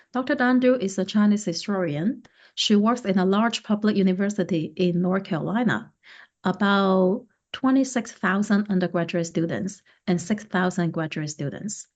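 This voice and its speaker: A woman hosting an interview podcast, putting questions to her guest in deliberate, somewhat halting phrases. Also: medium pitch, darkish tone. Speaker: podcast host. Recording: muffled lo-fi podcast recording.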